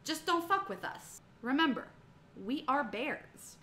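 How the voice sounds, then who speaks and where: A woman voicing a courteous but quietly menacing female bear in a comic story reading. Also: high voice, big pitch swings, a little slow, roomy sound, background noise. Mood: politely warning. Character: polite but quietly menacing married lady bears.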